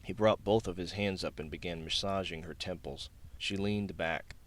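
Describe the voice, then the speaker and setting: A man's voice: deep voice, steady tone, moderate pace. A man reading scripted fiction as an audiobook narrator.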